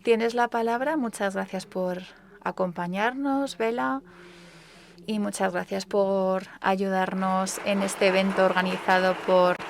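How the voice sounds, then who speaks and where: A woman, interpreting live on stage in a lively, expressive way. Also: medium pitch, a little slow.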